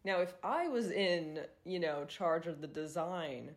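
A woman's voice: fairly low pitch, expressive, a little slow. A woman in her twenties hosting a podcast, chatting casually with lots of fillers and swearing, sounding congested and nasal. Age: 20s. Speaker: podcast host. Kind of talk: casual solo podcast chat.

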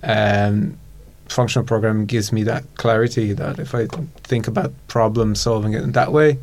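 A man's voice: lowish voice, fairly even intonation, moderate pace, darkish tone. A man with a Hungarian accent, a guest expert on a casual podcast, thoughtful and laughing easily as he explains.

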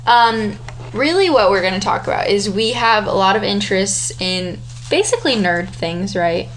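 A woman's voice: mid-range voice, animated, normal speed, fairly bright tone, slight background noise, low-quality recording. A young woman co-hosting a casual podcast as the older sister, chatty and rambling, laughing often. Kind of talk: casual chat.

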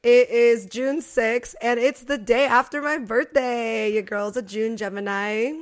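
A woman's voice: fairly high pitch, steady tone, measured pace. A woman in her late twenties co-hosting a podcast, chatting casually and laughing often. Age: 20s. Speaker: podcast co-host. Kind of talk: casual chat.

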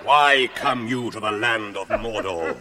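An actor playing a stern, relentless interrogator in a radio drama, demanding answers in a commanding way. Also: male voice, fairly low pitch, measured pace, a crowd in the background, close to an everyday voice. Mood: stern, probing.

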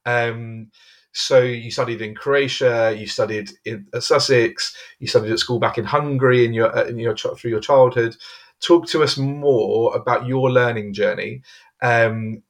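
A man's voice: mid-range voice, steady tone, moderate pace. A man hosting an interview podcast, speaking with formal, courteous phrasing and some repeated words. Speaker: host.

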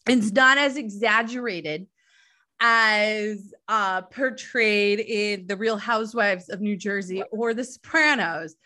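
Chatty bright-voiced woman host. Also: medium pitch, slow. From Southern New Hampshire. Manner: chatty, laughs often, reads listener questions. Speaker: podcast host. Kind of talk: casual chat.

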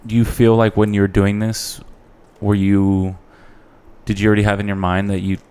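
A man's voice: lowish voice, monotone, moderate pace, darkish tone, clean tone. A man hosting a casual interview, informal and supportive with the guest. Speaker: interview host. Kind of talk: casual chat.

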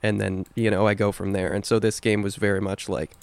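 A young man with a low voice, co-hosting a podcast in casual chat, earnest and deliberate with a dry wit. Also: little pitch movement, vocal fry, clear, resonant tone, dry recording. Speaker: podcast co-host.

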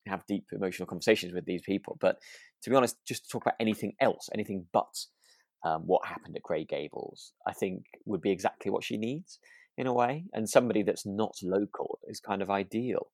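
Casting: male podcast co-host, lowish gravelly voice, close dry sound, reflective and halting, informal. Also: darkish tone, dry recording. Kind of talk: relaxed casual discussion.

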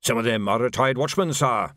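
A man voicing a folksy, deferential ageing army sergeant with rough grammar in an audiobook. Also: medium pitch, brisk, sharp tone, dry recording, clean studio sound, lightly acted. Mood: respectful, doubtful. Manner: folksy, ungrammatical, barks at recruits. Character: deferential ageing sergeant, cheerful old soldier drilling volunteers. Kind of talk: audiobook character voice.

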